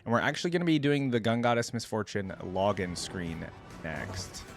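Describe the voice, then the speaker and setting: A man's voice: lowish voice, very animated, normal speed, faint music behind. A young adult man, giving chatty, enthusiastic reaction commentary as a video host.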